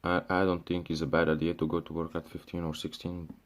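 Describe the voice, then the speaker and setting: Deep-voiced young man from Syria ranting. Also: completely flat delivery, fast. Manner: frequent restarts and fillers, swears, says "bro". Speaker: vlogger. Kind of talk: casual ranting monologue.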